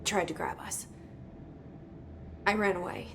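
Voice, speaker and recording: A woman's voice: lowish voice, big pitch swings, unhurried, noisy background. An actress playing a determined young woman searching for her lost brother, terse and guarded. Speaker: game protagonist. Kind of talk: video game dialogue and inner monologue.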